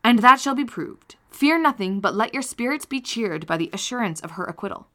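A woman's voice: on the high side, lively intonation, a little fast, a bit bright, pitched above the performer's own voice. A woman reading the lines of a young man firmly reassuring his cousin. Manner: firm, comforting.

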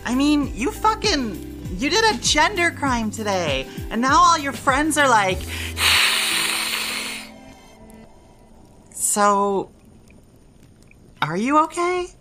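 A woman's voice: high-pitched, big pitch swings, slow pace, sharp tone, full character voice. An actress playing a breezy, supportive, blunt young woman who talks in casual slang in an audio drama, with music behind her. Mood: teasing concern. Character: Roxy, breezy supportive party-loving young woman, recovering alcoholic. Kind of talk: fandub audio drama dialogue.

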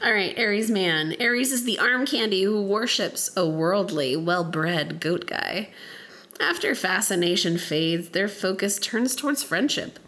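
A woman's voice: unhurried, a bit bright. A woman co-hosting a casual podcast who reads aloud from a book with a cold, stumbling over words and giggling. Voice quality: deep voice from a cold. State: has a cold. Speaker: podcast co-host.

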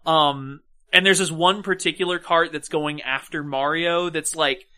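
A woman's voice: low-pitched, steady tone, measured pace, bright, forceful tone. A woman co-hosting a podcast, giving casual, opinionated film commentary in a wry, sardonic way. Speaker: podcast co-host.

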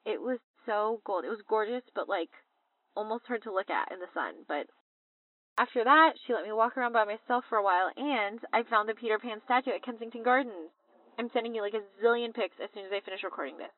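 An actress playing a chatty, excitable young American little sister leaving a rambling voice message in an audio drama, through a phone filter. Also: female voice, mid-range voice, brisk, no room sound. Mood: excited, happy. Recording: tinny phone-like line.

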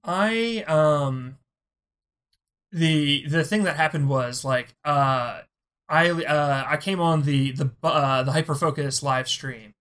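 A person speaking as a guest on a podcast, chatty and casual, filling their talk with "like". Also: male voice, fairly high pitch, takes it slowly, fairly bright tone. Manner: chatty, lots of "like" fillers. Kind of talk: casual conversation.

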